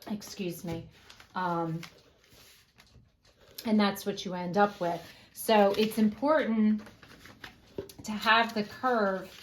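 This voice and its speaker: A woman explaining a paper craft step by step in a casual, unhurried way with frequent fillers, her voice going hoarse. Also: mid-range voice, steady tone, a little hiss or hum. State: losing her voice, coughing. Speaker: craft tutorial host.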